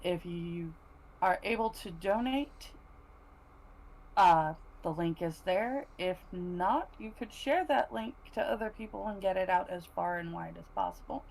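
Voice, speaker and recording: A woman's voice: lowish voice, animated, a little slow, a little mellow, low-quality recording. A woman speaking casually as a co-host on a livestream. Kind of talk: casual chat.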